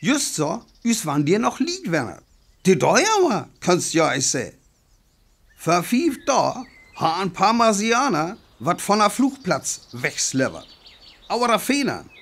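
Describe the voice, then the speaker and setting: An actor with a North Frisian accent playing a jumpy, embittered soldier in a radio play, speaking in gruff, broken phrases. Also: male voice, high voice, sing-song, takes it slowly, fairly bright tone, exaggerated voice. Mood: bitter, agitated. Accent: North Frisian (Föhr). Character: jumpy, embittered male soldier holed up after defeat.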